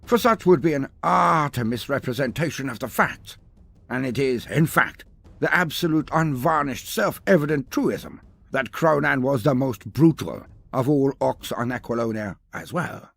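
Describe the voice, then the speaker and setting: A man's voice: mid-range voice, lively intonation, normal speed, vocal fry. A man narrating a scripted mock-epic fantasy tale in a grandiose, theatrical manner with ornate delivery. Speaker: storyteller, narrator.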